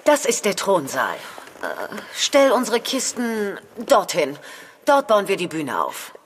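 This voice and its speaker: A woman playing a haughty, two-faced puppeteer in an audio drama, with a husky voice. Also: mid-range voice, huge pitch swings, slow, long reverb tail, a little hiss or hum, heavily acted. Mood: bossy, scolding.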